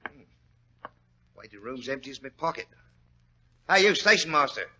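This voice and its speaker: An actor playing a deferential, nosy country constable in 1940s radio drama, with a folksy manner. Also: male voice, mid-range voice, lively intonation, moderate pace, bright, forceful tone, breathy edge, full character voice. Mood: deferential, chatty. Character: chatty, deferential English village constable. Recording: dull old radio recording.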